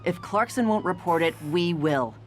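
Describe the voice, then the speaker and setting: Game newswoman, female actor, sharp and determined, slightly low voice, noisy ambience. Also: lively intonation, normal speed, natural voice.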